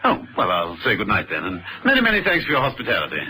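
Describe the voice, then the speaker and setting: A man with an English accent playing a suave, drily witty gentleman secret agent in a radio drama. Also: lowish voice, wildly swooping pitch, fast talker, a crowd in the background. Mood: suave, teasing. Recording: noisy vintage radio recording.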